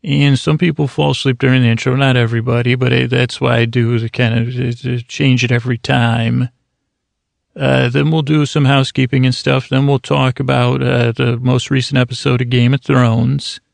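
A man hosting a bedtime podcast, talking in a meandering, halting way full of fillers and self-corrections, kept deliberately slow and mild so listeners can drift off. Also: mid-range voice, little pitch movement, vocal fry, no echo. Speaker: podcast host. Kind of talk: rambling bedtime podcast intro.

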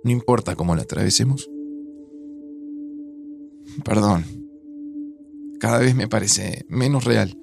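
A man's voice: on the low side, a little slow, gravelly, long pauses, over music. A man playing a melancholy, grieving man in a naturalistic audio drama, with an Argentine accent and a hesitant, introspective way of talking. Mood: anguished, wistful. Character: melancholy, grieving, dramatic man, older than his friend.

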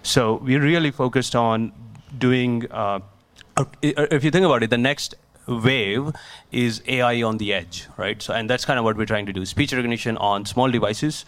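A man's voice: medium pitch, moderate pace, fairly bright tone. A man who founded a startup, answering interview questions in a technical, explanatory way and often restarting his sentences.

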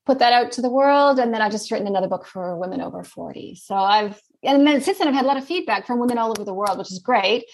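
Canadian woman explaining, middle-aged. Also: on the high side. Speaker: guest expert. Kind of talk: podcast interview.

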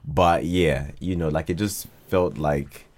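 A man's voice: very deep voice, steady tone, takes it slowly. A man from Ghana chatting casually as a podcast guest, modest and hesitant, often repeating his words. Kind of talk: casual interview chat.

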